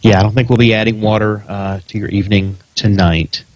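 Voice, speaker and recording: A man's voice: fairly low pitch, steady tone, fairly quick, highs cut off, a little hiss or hum. A middle-aged American man chatting casually as a podcast host before the show. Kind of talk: casual pre-show chat.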